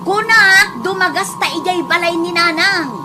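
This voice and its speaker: A woman's voice: extremely high pitch, fairly even intonation, normal speed, noisy background. A woman playing a snooty, boastful, newly promoted supervisor in an Ilocano comedy radio drama, with an Ilocano accent. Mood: insistent. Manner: haughty, fussy.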